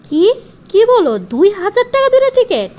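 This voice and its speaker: A woman's voice: extremely high pitch, very animated, brisk, a little mellow, highs cut off. A woman with a Bangladeshi accent playing an exasperated, ordinary young woman in a cartoon skit. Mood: resigned, dismayed.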